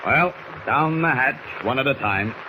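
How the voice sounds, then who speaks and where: A man's voice: medium pitch, lively intonation, measured pace, natural voice. A man playing a bold, mocking American superhero in a confident, declamatory 1940s radio acting style. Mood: confident, purposeful. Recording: very noisy 1940s radio recording.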